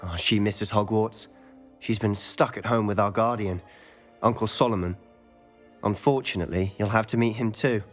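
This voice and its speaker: A man's voice: fairly low pitch, fairly even intonation, moderate pace, natural voice. A young man playing a confident, inquisitive teenage wizard schoolboy in a video game, eager and probing in conversation. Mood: friendly. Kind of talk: dramatic video game dialogue. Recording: long reverb with music behind. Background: music.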